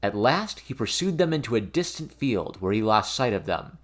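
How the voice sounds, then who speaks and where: A man reading a fairy tale aloud as an expressive, theatrical storybook narration. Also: fairly low pitch, normal speed. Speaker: storyteller, reader of fairy tales.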